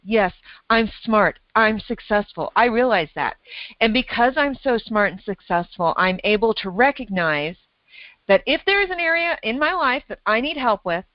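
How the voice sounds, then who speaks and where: A middle-aged woman, a weight loss coach, talking casually and telling a lively story with frequent laughs. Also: medium pitch, no echo, dull audio. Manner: animated storyteller, laughs often, acts out her own thoughts.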